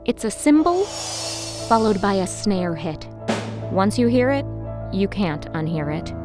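Pompous fan club commandante. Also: female voice, medium pitch, sing-song, measured pace, over music. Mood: proud, knowing. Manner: pompous, emphatic, correcting. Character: self-important fan club commandante, woman, fiercely certain. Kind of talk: mockumentary audio drama interview.

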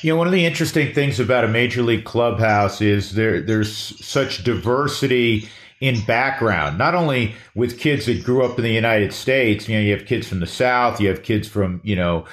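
A man's voice: fairly low pitch, lively intonation, moderate pace, fairly bright tone. A man hosting an interview, asking long, chatty, wind-up questions. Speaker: host. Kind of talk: conversational interview. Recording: roomy echo.

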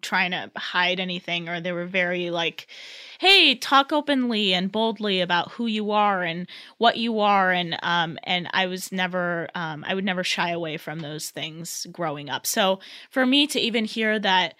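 A young woman from Southern California chats as an interview guest about her path as a director. Her voice is bright and forward, and she often breaks into laughs and restarts her sentences. Her speech is loose and full of fillers. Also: on the low side, normal speed. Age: 20s. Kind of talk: casual interview chat.

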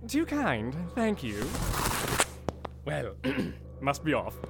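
An actor playing a polite, absent-minded gentleman who fusses and chuckles. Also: mid-range voice, wildly swooping pitch, extremely slow, creaky, heavy background noise, exaggerated voice. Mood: flustered. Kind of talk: audio drama acting.

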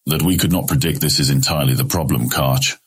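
A man's voice: rumbling low, fairly even intonation, fast, breathy edge, pitched below the performer's own voice. A man voicing a furious, ruthless guild leader in a fantasy audiobook, hissing his clipped, sarcastic words with cold scorn. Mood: livid, commanding. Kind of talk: fantasy audiobook character acting.

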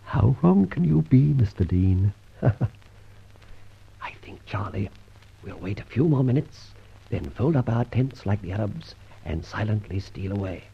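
Suave, husky gentleman thief, dull sound. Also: lowish voice, expressive, unhurried, full character voice. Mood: cool amusement. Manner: breezy, witty, upper-class turns of phrase. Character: suave gentleman adventurer thief, cool, jaunty and commanding. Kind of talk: old radio drama acting. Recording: muffled old radio recording.

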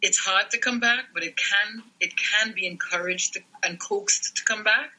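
A middle-aged man with a high, husky voice. His tone is very bright. He explains things earnestly as a specialist guest. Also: normal speed, rough recording. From Trinidad and Tobago. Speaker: newborn care and lactation specialist. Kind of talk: podcast interview guest.

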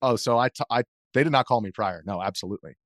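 Man, American accent, fairly low voice, informal interview guest, rambling anecdotes with quoted dialogue, dry sound. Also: fast, dry recording. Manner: informal, self-interrupting storytelling with acted-out quotes. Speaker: interview guest, video journalist. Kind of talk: casual anecdotal interview talk.

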